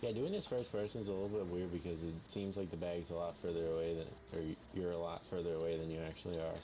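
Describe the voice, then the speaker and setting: Very deep male voice, dry wit, casual co-op banter, noisy recording. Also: steady tone, fast talker. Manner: dry, wry remarks. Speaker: co-player. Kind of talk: casual multiplayer gaming chat. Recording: noisy game capture.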